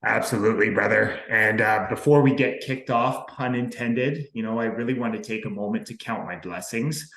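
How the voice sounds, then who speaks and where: A man hosts a podcast in a lowish voice, earnest and upbeat. He leans on motivational sayings. The sound has a roomy echo. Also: normal speed, vocal fry, hardly any pauses, a bit bright. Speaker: podcast host.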